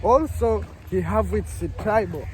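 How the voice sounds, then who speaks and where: High-voiced Tanzanian man, eager and halting. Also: darkish tone, faint music behind. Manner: eager, repeats words, halting. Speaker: local guest explaining history. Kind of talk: casual chat.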